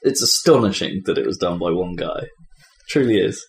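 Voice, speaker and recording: A man hosting a casual podcast discussion, thinking aloud in halting, emphatic phrases. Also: low-pitched, lively intonation, vocal fry. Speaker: podcast host presenting award categories. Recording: roomy, faint background noise.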